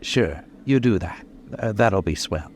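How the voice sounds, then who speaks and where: An actor playing a brooding, menacing male killer in old-time radio suspense style, intense, halting and obsessive. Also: fairly low pitch, expressive, slight background noise. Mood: uneasy, evasive.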